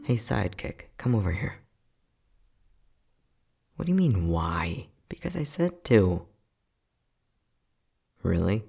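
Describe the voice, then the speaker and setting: A man acting as an unhinged, playful and casually cruel scientist in an ASMR roleplay, flippant and sardonic, with a husky voice that often drops near a whisper. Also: on the low side, big pitch swings, slow, pauses often, warm tone, exaggerated voice. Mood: coaxing. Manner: flippant, sardonic, mock-soothing, muttering.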